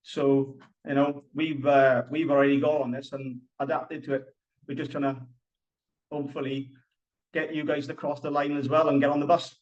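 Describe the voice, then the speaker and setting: A man's voice: medium pitch, flat delivery, normal speed, a little mellow, far from the mic. Welsh man explaining, dull lo-fi audio. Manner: earnest, explanatory, repeats points with fillers. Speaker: presenter. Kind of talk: live online workshop talk. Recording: dull lo-fi sound.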